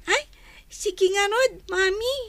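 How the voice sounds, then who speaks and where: Playful, whiny small child, very high and slow-paced, for Ilocano radio drama. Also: female voice, fairly bright tone, dry recording, exaggerated voice.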